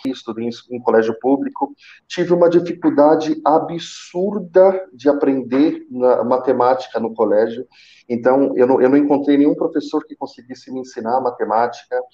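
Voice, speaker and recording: A man's voice: mid-range voice, normal speed, warm tone. Earnest man on a muffled, roomy call. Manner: earnest, restarts often with repeated words. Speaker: senior call center manager, guest. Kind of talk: live video chat, telling his career story. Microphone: headset on video call.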